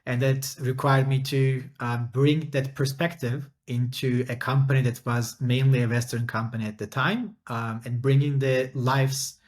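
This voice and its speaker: A middle-aged man from Turkey answers interview questions at an even, steady pitch. He speaks carefully and thoughtfully, pausing often to fill gaps with ums and uhs. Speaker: startup founder and CEO, interview guest.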